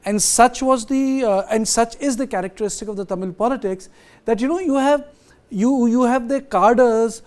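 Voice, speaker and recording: A man with an Indian accent giving political analysis as a journalist panelist, halting and emphatic, repeating phrases. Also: very high-pitched, darkish tone.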